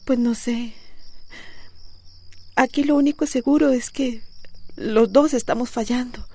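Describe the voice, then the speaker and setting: An actress playing an anxious, soft-hearted mother with a Costa Rican accent in a radio drama. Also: a little high, fairly even intonation, unhurried, no echo. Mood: anxious, pleading.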